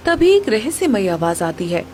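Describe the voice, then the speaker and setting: A woman's voice: mid-range voice, very animated, moderate pace, a little mellow, a little hiss or hum. A woman giving scripted narration for a moral story.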